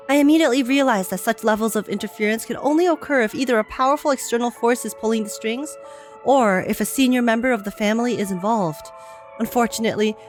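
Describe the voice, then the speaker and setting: A woman streamer playing a video game, reacting casually and reading game text aloud. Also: mid-range voice. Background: faint game music.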